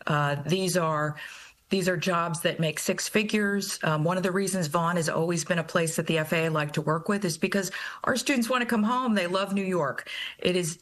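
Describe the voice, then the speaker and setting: Low-pitched American woman, explanatory. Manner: conversational, explanatory, often checks with "right?". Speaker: college president, interview guest. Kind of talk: broadcast news interview, explanatory.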